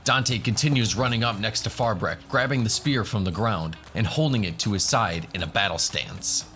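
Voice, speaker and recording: A man's voice: on the low side, moderate pace, sharp tone. A man giving scripted narration for a fantasy audio drama. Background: music.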